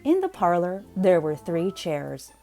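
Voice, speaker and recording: A woman reading a children's picture book aloud in a playful, expressive way, drawing out words for emphasis. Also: on the low side, measured pace, faint music behind. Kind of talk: animated children's read-aloud narration.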